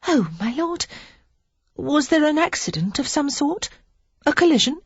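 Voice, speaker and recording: A narrator voicing a shy, timid young noblewoman, speaking hesitantly and softly. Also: high-pitched, sing-song, measured pace, dry recording, dull audio, pitched above the performer's own voice, exaggerated voice. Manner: hesitant, soft, breathy. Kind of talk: audiobook character voice.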